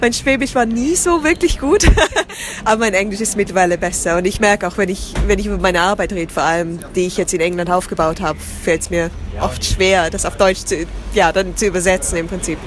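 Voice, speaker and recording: A woman's voice: medium pitch, brisk, slight background noise. A woman, chatting in an interview, often restarting and searching for words. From southern Germany. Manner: searching for words, many restarts, drops into English. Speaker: guest, theatre company founder. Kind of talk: casual interview.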